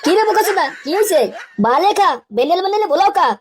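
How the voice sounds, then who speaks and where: Man as a squeaky, grumbling cartoon layabout. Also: lively intonation, fast, slight background noise. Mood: irritated. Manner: grumbling, swearing, argumentative. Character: lazy, foul-mouthed, irritable grown son. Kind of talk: comic cartoon voice acting.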